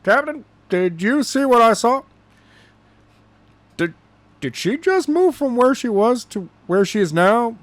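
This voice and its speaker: A startled soldier for hire , as a male narrator puts him on, pitched up high. He exclaims in disbelief, thoroughly bewildered. It is an action storybook character. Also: expressive, a little slow, pauses often, no room sound.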